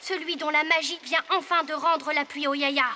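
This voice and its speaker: Bright, very high plucky boy hero. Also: level tone, normal speed, slight background noise. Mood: bold. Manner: earnest, eager. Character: plucky, determined, kind young boy hero. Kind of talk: French cartoon voice acting. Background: faint music.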